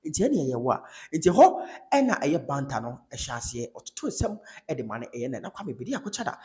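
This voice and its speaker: A man's voice: a little high, big pitch swings, fairly quick, darkish tone. A man with a Ghanaian accent giving informal commentary, retelling an interview as a code-switching storyteller. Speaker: online video commentator.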